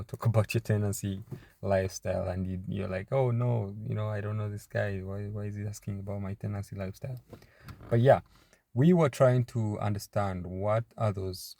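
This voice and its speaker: A young man from Uganda talks about himself and his startup, pausing often and restarting his sentences. His low voice has a gravelly fry and a dark, mellow tone. The sound is close and dry. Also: no room sound. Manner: halting, many fillers and restarts. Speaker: startup founder. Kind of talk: casual personal introduction to camera.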